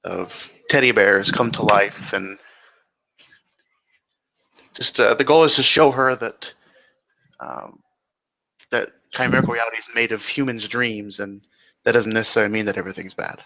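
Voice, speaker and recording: A man improvising descriptive scene-setting as game master of a tabletop roleplay, with hesitant pauses. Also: lowish voice, gravelly, bright, forceful tone, rough recording.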